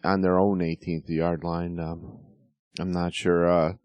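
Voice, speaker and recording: Low-voiced middle-aged guy, kinda hoarse, rambly with lots of uhs, dry close sound. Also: level tone, unhurried, darkish tone, dry recording. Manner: rambling, many fillers and restarts. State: slightly hoarse from yelling. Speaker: podcast host. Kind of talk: casual sports talk.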